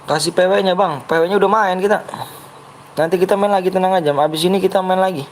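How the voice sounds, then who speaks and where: A man's voice: sits high, fairly even intonation, fast talker, a little hiss or hum. Young Betawi man, blunt live streamer. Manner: blunt, brash delivery he himself calls harsh-sounding; chatty, keeps coaxing viewers to like and subscribe. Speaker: game streamer. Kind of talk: live gaming stream chat.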